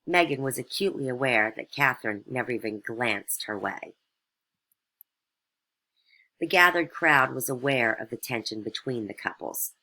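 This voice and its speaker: A woman's voice: rumbling low, sharp tone, poor audio. A woman reading scripted satirical fairytale narration as a storyteller.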